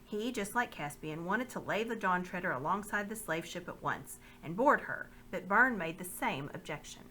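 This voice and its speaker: A woman reading a children's novel aloud with expressive, measured narration. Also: fairly low pitch, a little hiss or hum. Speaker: reader.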